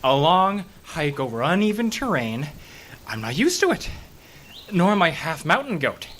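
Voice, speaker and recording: A man's voice: on the high side, sing-song, normal speed, a bit bright, a little hiss or hum. A man playing a reluctant, cautious park ranger in an audio drama, speaking in a grumbling, wry, hesitant way. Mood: winded, complaining.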